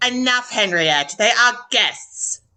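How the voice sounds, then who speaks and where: A woman's voice: a little high, expressive, slow, bright, forceful tone, smooth, heavily acted, low-quality recording. A woman playing an imperious, frail older baroness in a period radio drama. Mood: stern.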